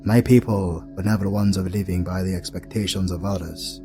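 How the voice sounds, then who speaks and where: A man playing a flippant, stubborn dark elf with a droll, theatrical manner in a fantasy audio drama with light music. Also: low-pitched, steady tone, brisk. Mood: proud. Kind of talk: fantasy audio roleplay acting.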